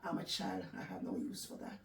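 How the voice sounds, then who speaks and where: A woman from Jamaica with a Jamaican accent, recounting her life story in a soft, reflective way with halting restarts. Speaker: interview guest. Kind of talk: personal storytelling in an interview. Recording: echoey room, distant mic, faint background noise.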